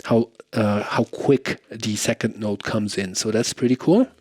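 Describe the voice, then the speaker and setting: A man's voice: lowish voice, a little slow, a little mellow, no room sound, soft background music. A man giving a casual product demo as a guitarist, thinking aloud in an informal way. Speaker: guitarist reviewing effects pedals.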